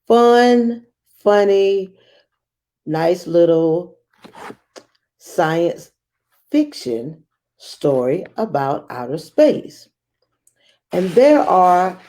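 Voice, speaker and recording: A woman hosting a children's read-aloud as a teacher, speaking in a measured, expressive storytelling manner. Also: fairly low pitch.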